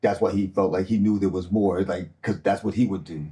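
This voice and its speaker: A male actor talking casually after a reading, thoughtful and halting with many restarts. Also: low-pitched, steady tone, mellow. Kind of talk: casual discussion.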